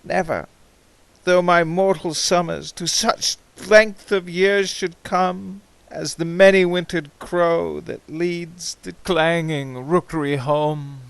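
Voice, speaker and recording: A man giving a theatrical, impassioned recitation of poetry, swinging between hushed and ringing delivery. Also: a little high, fairly even intonation, takes it slowly, vocal fry, clear, resonant tone, no room sound. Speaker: amateur poetry reader.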